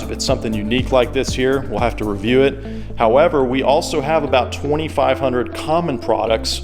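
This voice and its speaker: A man presenting an item for a secondhand shop in a casual, conversational and explanatory way. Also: medium pitch. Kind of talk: casual product demonstration.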